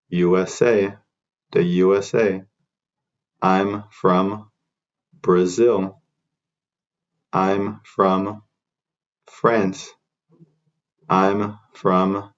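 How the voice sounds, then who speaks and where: A man teaching beginner English, repeating short phrases slowly for learners to copy. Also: sits low, flat delivery, lo-fi sound. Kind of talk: slow repeat-after-me drill.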